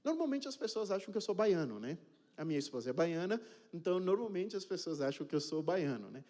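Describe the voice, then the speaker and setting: A man's voice: sits high, very animated, fast talker, a little mellow. A man in his thirties, originally from Cape Verde, introducing himself as the new pastor to his congregation in a chatty, joking way, often checking in with his listeners. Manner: chatty and joking, tags sentences with "né?" and "tá bom?". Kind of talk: informal self-introduction to a congregation. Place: church sanctuary. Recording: reverberant church hall.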